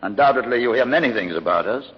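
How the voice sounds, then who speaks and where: An actor playing an intelligent mutant leader in an old radio drama, speaking in a courteous, measured, formal way with cool pride. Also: male voice, medium pitch, expressive, slightly rough. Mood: proud. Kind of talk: 1950s radio drama acting. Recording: muffled old radio recording, long reverb.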